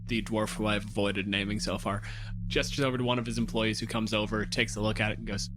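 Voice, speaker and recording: A man's voice: lowish voice, level tone, quick pace, background noise. A man working as game master, improvising descriptive, conversational narration for a tabletop game.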